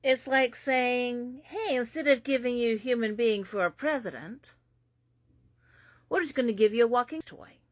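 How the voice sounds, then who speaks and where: A woman's voice: fairly high pitch, moderate pace, no echo, clean studio sound. A woman giving sarcastic, incredulous political commentary from the United States. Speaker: commentary host.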